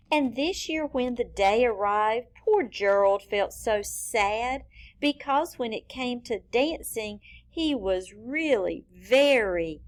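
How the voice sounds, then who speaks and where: Female children's storyteller, higher-pitched voice, unhurried and teacherly, expressive read-aloud with asides. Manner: deliberate teacherly phrasing with pauses and dropped asides to listeners. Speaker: children's read-aloud reader pointing out rhyming words. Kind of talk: expressive picture-book storytelling for young children.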